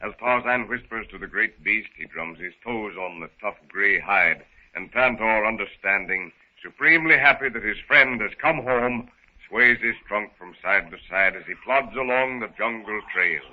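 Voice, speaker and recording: A man's voice: on the low side, normal speed, phone-quality sound. A man narrating a 1930s radio adventure serial in a formal, dramatic storytelling manner, on an old recording.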